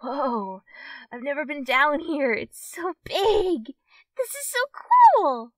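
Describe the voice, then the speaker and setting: A sweetly awkward, easily excited young fox girl, acted by a woman in a friendly ASMR roleplay. Her voice sits fairly high and she takes her time, hesitating as she goes. The sound is dry, with no room echo. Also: distant mic, heavily acted. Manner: hesitant, halting, giggly, addressing the listener as a friend. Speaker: roleplay performer.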